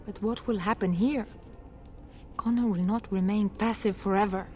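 A woman's voice: mid-range voice, steady tone, normal speed, a little mellow, close to an everyday voice. A woman playing an anguished, resolute noblewoman mother in a fantasy video game, grave and pleading in formal speech. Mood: pleading, worried.

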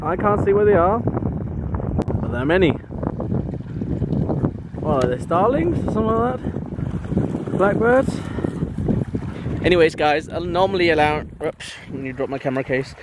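A man's voice: sits high, animated, unhurried, long pauses. A man in his thirties from Denmark, chatting casually into a handheld camera with a rambling manner and frequent laughs. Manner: chatty, rambling, laughs often, baby-talks to his dog. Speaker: vlogger. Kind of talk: casual daily vlog. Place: outdoors on a windy beach, partly at home. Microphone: handheld camera at arm's length. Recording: wind noise on camera mic.

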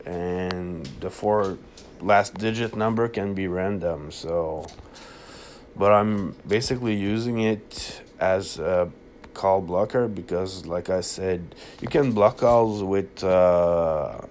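Slow, low-voiced man. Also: darkish tone, slight background noise. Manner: halting, hesitant delivery. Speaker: app tutorial presenter. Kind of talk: casual unscripted screen-recording walkthrough.

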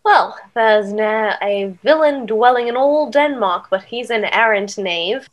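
A woman's voice: fairly high pitch, expressive, a little slow. A woman playing a brooding, earnest young noble in a theatrical, Shakespearean audio drama manner. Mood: conspiratorial. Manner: theatrical, archaic Shakespearean phrasing. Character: brooding, earnest young Danish noble sworn to avenge a father.

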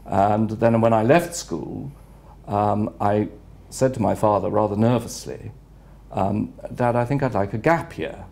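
Older english gent, gravelly low voice, slow thoughtful answers w lots of pauses, lapel mic. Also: male voice, a little mellow. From England. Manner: measured, reflective, unhurried with frequent pauses. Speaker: retired headmaster, guest. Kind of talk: interview answers. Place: office room with city view window.

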